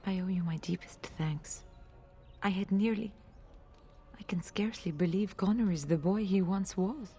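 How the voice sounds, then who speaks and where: An emotional noblewoman in a fantasy video game, voiced by a woman. Her tone is mellow and breathy, with formal, dramatic phrasing. Faint music sits underneath. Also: mid-range voice, normal speed, natural voice. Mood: relieved, grateful. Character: emotional noblewoman, anxious mother of a rescued boy.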